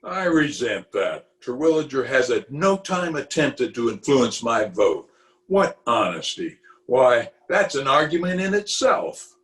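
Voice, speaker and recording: A man's voice: medium pitch, very animated, a little slow, a bit bright. A man playing a pompous, flirtatious middle-aged town official in 1940s radio comedy style, blustering and wheedling theatrically. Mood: blustering, indignant. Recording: roomy, echoing home video-call recording.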